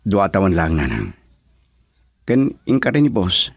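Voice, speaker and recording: An actor playing a reluctant, brooding young husband in an Ilocano radio drama, with a Filipino accent. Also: male voice, deep voice, wildly swooping pitch, takes it slowly, husky, no room sound, studio quality, heavily acted. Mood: defensive.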